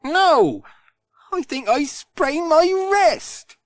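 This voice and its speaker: A storyteller voicing a cheerful, busy village postman who talks brightly and eagerly. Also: medium pitch, sing-song, unhurried, no room sound, voice pushed up. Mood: rueful. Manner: bright, sing-song and eager. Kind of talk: storybook read aloud.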